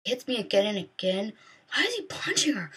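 A woman reading smut aloud, incredulous and loud. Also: medium pitch, sing-song, a little fast, breathy edge, exaggerated voice. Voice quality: incredulous, mocking.

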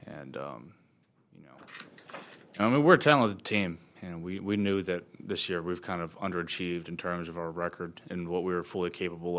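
A man's voice: sits low, a bit bright. A man in his twenties, a college football quarterback, answering questions at a postgame press conference. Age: 20s. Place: press conference table indoors.

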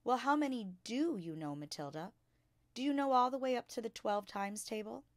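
A woman's voice: on the high side, expressive, moderate pace, dry recording, clean studio sound, voice pushed up, lightly acted. Woman reading as kindly, earnest young schoolteacher. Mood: curious, probing. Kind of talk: children's storybook read-aloud.

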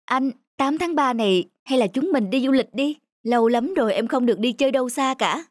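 A woman voicing a sensitive, expressive young woman, an emotional girlfriend in a cartoon. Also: high voice, fairly quick, soft background music. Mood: sweet, hopeful. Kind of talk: cartoon dubbing.

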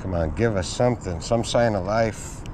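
A middle-aged man with a fairly low voice talks casually to his camera on an open pier. He sounds low-key, thinking aloud as he fishes, with clear outdoor noise around him. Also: normal speed. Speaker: angler narrating own fishing outing. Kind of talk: casual vlog commentary.

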